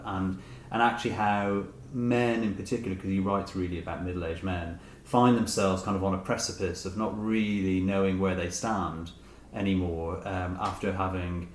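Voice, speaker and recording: A middle-aged man, an author being interviewed, talking reflectively and tentatively as he rephrases his thoughts. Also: low-pitched. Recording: roomy echo with clear background noise.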